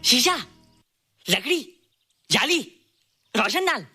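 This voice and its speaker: An actor playing a childlike, naive, excitable young man who exclaims and repeats himself in a Hindi film. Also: mid-range voice, slow, husky, exaggerated voice. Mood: amazed. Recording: film dialogue over faint music.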